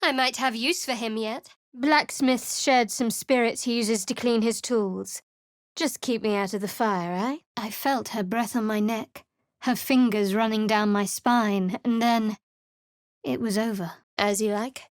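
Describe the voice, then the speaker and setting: A woman's voice: on the high side, fairly even intonation, a little slow, no room sound, close to an everyday voice. A woman voicing a guarded, sardonic young woman cleric in a studio game, speaking in a dry, curt, secretive way. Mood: wry. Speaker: game character.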